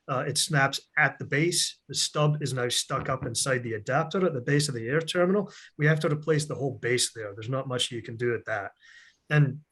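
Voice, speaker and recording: A middle-aged man from Scotland with a mixed Scottish and American accent, presenting a virtual technical seminar over a video call in a chatty, joking, energetic way. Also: mid-range voice.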